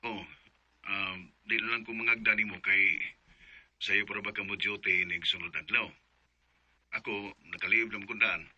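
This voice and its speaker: An irritable young boyfriend in a radio drama, a man with a very forceful, rough-edged voice. He is curt and evasive, with a Cebuano accent, in dull, narrow audio. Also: lowish voice, steady tone, normal speed, pauses often, dry recording, heavily acted. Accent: Cebuano Filipino.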